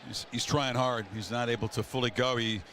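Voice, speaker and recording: Male football announcer over clear noise. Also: mid-range voice, fairly even intonation, fairly quick, pushed and bright. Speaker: TV play-by-play announcer. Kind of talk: broadcast football commentary. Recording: noisy game broadcast feed.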